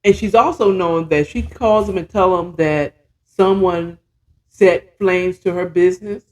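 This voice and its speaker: Podcast host telling a story real slow, mid pitch, chatty and dramatic, slangy, cusses, repeats stuff for emphasis. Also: poor audio. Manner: conversational and dramatic, acting out dialogue, repeating words for emphasis; blunt, slangy and profane. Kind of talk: casual, animated retelling of a story chapter with commentary.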